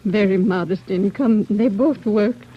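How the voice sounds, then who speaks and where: A woman's voice: medium pitch, unhurried, background noise, natural voice. An American woman acting a shaken, grieving neighbour in a 1940s radio drama, faltering and mournful. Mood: subdued grief. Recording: dull old radio recording.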